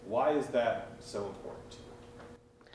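A man's voice: medium pitch, unhurried, darkish tone. Man asking questions in a roomy space. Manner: brief, plain questions. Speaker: interviewer. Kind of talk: interview questions. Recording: roomy sound with faint ambience.

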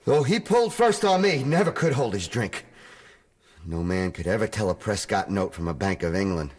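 An actor with an American accent playing a cocky, unrepentant crook who chuckles and drawls slang in a radio drama. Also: male voice, lowish voice, big pitch swings, normal speed. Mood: brash. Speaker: John Garrideb, counterfeiter's accomplice.

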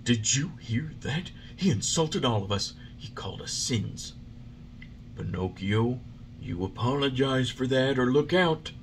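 A man's voice: mid-range voice, animated, a little slow, a little hiss or hum, pitched above the performer's own voice, lightly acted. A man voicing jeering, bullying schoolboys in a storybook reading, with a taunting, exaggerated manner. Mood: threatening.

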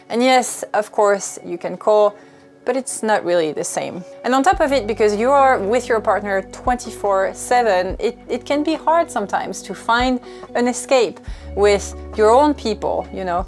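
A woman's voice: medium pitch, darkish tone. A woman in her thirties talking casually and candidly to camera, explaining things as a vlogger on a lapel mic. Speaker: sailing vlogger. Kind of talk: casual vlog talk to camera. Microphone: clip-on wireless lapel mic. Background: background music.

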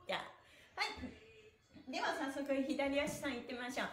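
A middle-aged woman leading a stretching class with energetic, encouraging cues, often in a rhythmic chant. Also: sits high, normal speed. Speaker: stretching instructor and livestream host. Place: small bare-walled indoor room. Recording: echoey room.

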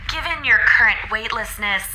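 A woman's voice: a little high, lively intonation, a little slow, sharp tone, slight background noise, exaggerated voice, rough recording. A woman voicing an anxious, apologetic space station AI in an audio drama, speaking in a hesitant, technical way. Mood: nervous.

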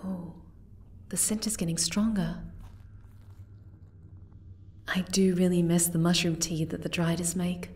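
A woman voicing a gentle, timid, caring young moth girl in an ASMR roleplay, soft-spoken and hesitant. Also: lowish voice, level tone, a little slow, pauses often, natural voice. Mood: shy, tender.

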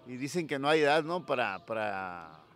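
A Mexican man hosting a casual street interview in a town plaza, friendly and sending shout-outs to viewers, holding a handheld mic. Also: mid-range voice, big pitch swings, moderate pace. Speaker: interviewer, channel host. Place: town plaza outdoors at dawn.

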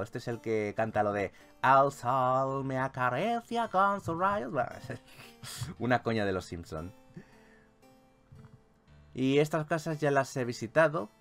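A man with a Spain accent giving playful, exclamatory gameplay commentary as a video host. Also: medium pitch. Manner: animated, exclamatory, jokey. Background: video game music.

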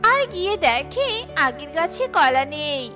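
A woman's voice: high-pitched, sing-song, a little slow, bright, forceful tone, soft background music. A woman narrating a scripted children's cartoon story.

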